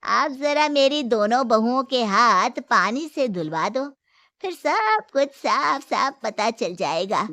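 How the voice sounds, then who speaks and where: A woman acts out an older mother-in-law and shopkeeper in a moral story, shrewd and firm. Her voice is high and her delivery slow and deliberate, with a dry studio sound. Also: big pitch swings, bright, forceful tone, no room sound, exaggerated voice. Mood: confident. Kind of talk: Hindi moral story character acting.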